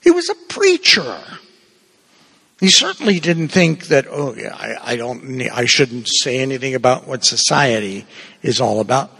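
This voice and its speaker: Man, Cuban-born priest, husky voice, long church reverb. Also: medium pitch, very animated, moderate pace, sharp tone. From Cuba. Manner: conversational, digressive preaching with asides. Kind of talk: homily.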